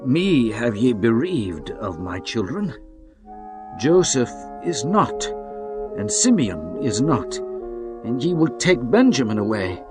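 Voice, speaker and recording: A man's voice: medium pitch, big pitch swings, slow pace. A man voicing Jacob, an aged father full of sorrow and lament, in a dramatized scripture reading. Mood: grieving. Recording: music bed behind voice.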